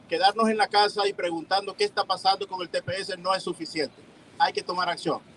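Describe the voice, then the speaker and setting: A man's voice: high voice, a bit bright, slight background noise, rough recording. A man speaking at an outdoor press conference, firm and urgent. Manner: firm, urgent call to action. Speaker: TPS organizer.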